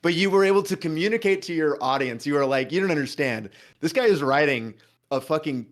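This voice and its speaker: A man's voice: fairly high pitch, expressive, fairly quick. A man calling in as a guest for a casual, sweary chat, talking earnestly and emphatically in a rambling way.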